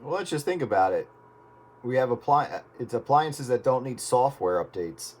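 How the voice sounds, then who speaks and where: A man's voice: medium pitch, animated, normal speed, darkish tone, husky. A man chatting casually on the radio in a folksy, plain-spoken way. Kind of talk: casual chat over radio.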